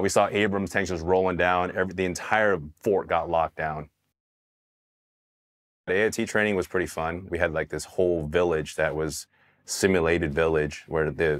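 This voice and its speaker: A man with an extremely low voice speaks in a seated studio interview. He tells his story candidly and casually, swearing now and then and restarting his sentences. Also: flat delivery, moderate pace. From Taiwan, raised in California. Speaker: Army veteran interviewee. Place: dark studio set, indoors. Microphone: hidden mic, seated on camera.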